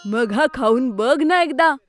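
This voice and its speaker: High-voiced scheming cartoon schoolboy. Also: female voice, expressive, slow pace, soft background music. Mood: agreeable. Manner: exclamatory, self-talking. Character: eager, competitive, scheming young schoolboy, a prankster brother. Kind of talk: children's cartoon voice acting.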